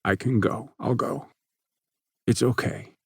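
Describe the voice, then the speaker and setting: A man's voice: mid-range voice, takes it slowly, vocal fry, slightly rough, dry recording, studio quality, heavily acted. A man voicing a hurt, withdrawn teenage boy who speaks in a subdued, stammering way.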